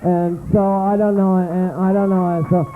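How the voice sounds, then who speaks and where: Stand-up comic, male, high voice, slow and campy, tinny club recording with hum. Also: little pitch movement. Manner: campy, dramatic delivery with sudden swoops into low voice. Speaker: stand-up comedian. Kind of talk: stand-up comedy set. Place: small comedy club.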